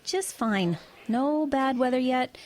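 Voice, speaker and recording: A woman's voice: high-pitched, expressive, slow pace, darkish tone. A woman playing a level-headed truck driver in an eerie audio drama, talking in an everyday conversational way. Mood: casual, evasive. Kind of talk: audio drama dialogue and narration.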